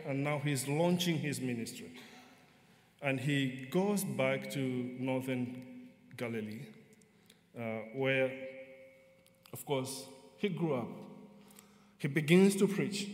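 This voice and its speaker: A middle-aged man with a Malawian accent preaches a narrative sermon as a guest, in deliberate, halting phrases with pauses. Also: medium pitch. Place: large hall, speaking to a gathering. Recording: echoey hall with long reverb.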